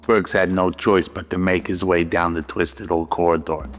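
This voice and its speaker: A man's voice: sits low, steady tone, moderate pace. A man reading scripted narration for a radio drama. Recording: long reverb over faint music.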